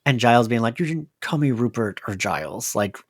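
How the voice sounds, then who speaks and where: A podcast co-host with an animated, giggly way of talking, casually recapping a story and acting out bits of dialogue. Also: medium pitch, a little fast, slightly rough. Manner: giggly, animated, quotes characters. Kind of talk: casual episode recap chat.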